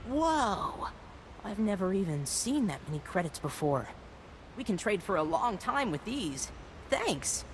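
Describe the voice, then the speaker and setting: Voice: mid-range voice, sing-song, unhurried, slight background noise. An actor playing a scrappy, defensive orphaned street kid in a video game, with a blunt way of talking. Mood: amazed, grateful. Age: child character. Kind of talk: video game character acting.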